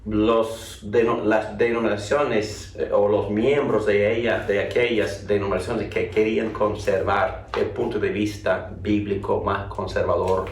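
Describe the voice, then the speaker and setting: A man from North Carolina teaching a theology class and opening with a prayer, speaking haltingly with many restarts and repeated words. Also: fairly low pitch, little pitch movement, slight background noise. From North Carolina, USA. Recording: echoey room, voice from far off.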